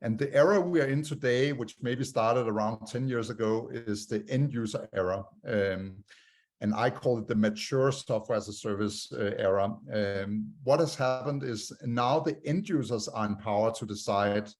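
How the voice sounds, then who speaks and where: A man with a Danish accent presents a talk over a video call and fills it with many "uh" pauses. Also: medium pitch, darkish tone. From Denmark. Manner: many "uh" fillers, measured delivery. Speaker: guest speaker.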